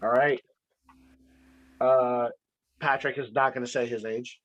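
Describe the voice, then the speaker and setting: A man's voice: mid-range voice, fairly even intonation, measured pace, fairly bright tone, dull audio. Playful man hosting over a video call. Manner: playful, teasing, rambling with restarts. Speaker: host. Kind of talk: casual video-call game show hosting.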